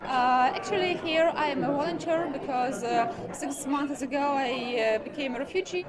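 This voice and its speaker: A woman with a Ukrainian accent answering interview questions in halting, non-native English. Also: sits high. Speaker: conference volunteer. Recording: loud crowd chatter behind.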